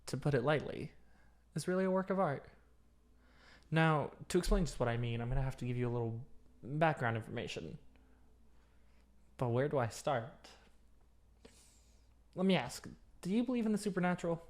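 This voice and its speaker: An obsessive vampire lab technician, acted out by a performer for an ASMR roleplay. The voice sits mid-low and talks intimately and chattily. The coaxing carries a dominant, starved menace. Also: darkish tone, close to an everyday voice.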